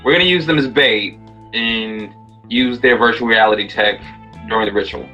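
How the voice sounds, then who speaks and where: A man improvises his part in a tabletop game in a casual, blunt way. His voice sits slightly low but is bright and forceful, with faint music behind it. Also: moderate pace, lo-fi sound.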